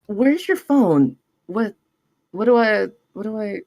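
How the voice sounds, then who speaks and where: A woman reading the part of an anxious, awkward young woman in a screenplay table read, halting and stammering. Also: medium pitch, animated, a little mellow, dry recording. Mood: flustered.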